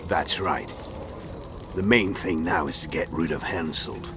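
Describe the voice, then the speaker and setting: Game role: calculating assassin leader, rock-bottom pitch, measured authority, clear music underneath. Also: male voice. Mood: calm, resolute. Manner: measured, commanding, terse. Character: very deep-voiced male assassin leader, calm, calculating. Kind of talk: dramatic video game dialogue. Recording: music behind the voice.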